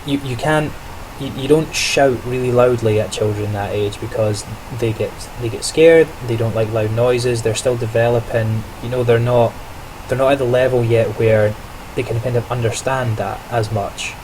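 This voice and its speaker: A man's voice: medium pitch, steady tone, moderate pace, hardly any pauses, background noise. A young man, a trainee teacher, talking through his day in a casual video diary, chatty and reflective with frequent self-corrections and small laughs.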